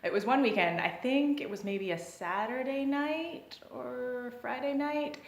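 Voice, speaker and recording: A woman's voice: sits high, unhurried, creaky. Woman telling a casual story, echoey room. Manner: hesitant, full of fillers and restarts, laughing often. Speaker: vlogger.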